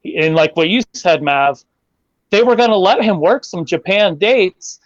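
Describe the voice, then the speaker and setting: A man with a fairly high voice gives blunt takes on a casual podcast, swearing now and then. The sound is dry and a little muffled, as if the audio was cut down. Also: measured pace, no echo. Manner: animated, opinionated, swears casually. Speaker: podcast co-host. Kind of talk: casual wrestling chat.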